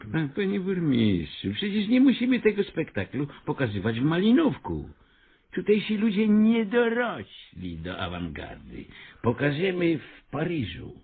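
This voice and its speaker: An actor playing a pompous, persuasive avant-garde theatre director in a Polish radio comedy, with a grandiose, coaxing manner. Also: male voice, a little high, wildly swooping pitch, a little slow, breathy edge, phone-quality sound, exaggerated voice. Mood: lofty persuasion.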